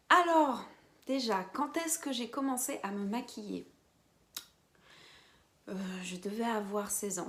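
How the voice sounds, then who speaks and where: A woman in her twenties from French Guiana, chatting casually to camera as a beauty vlogger, with quiet whispered asides. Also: fairly high pitch. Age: 20s. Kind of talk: casual vlog chat to camera.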